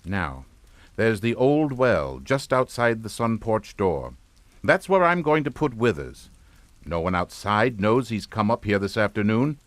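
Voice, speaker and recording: An actor playing a calm, menacing middle-aged father in a suspense radio drama, with clipped, authoritative delivery. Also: on the low side, measured pace, dry recording. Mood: cold menace. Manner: clipped, authoritative, matter-of-fact.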